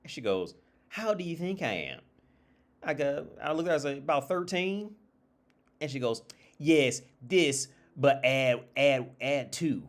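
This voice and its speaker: A man's voice: mid-range voice, expressive, a little slow. A man hosting a livestream, talking in a casual, rambling monologue with informal, profane phrasing and frequent repeated, restarted phrases. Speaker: livestream host.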